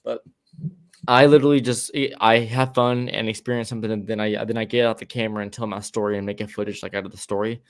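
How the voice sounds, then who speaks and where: A man's voice: lowish voice, little pitch movement, quick pace. A man co-hosting a podcast in loose, rambling casual chat, with casual swearing and lots of restarts. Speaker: podcast co-host.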